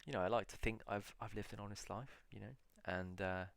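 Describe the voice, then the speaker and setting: Gravelly low-voiced man musing aloud. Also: fairly even intonation, a bit bright. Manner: musing aloud, reading titles slowly with many pauses. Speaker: video blogger. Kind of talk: casual solo reflection.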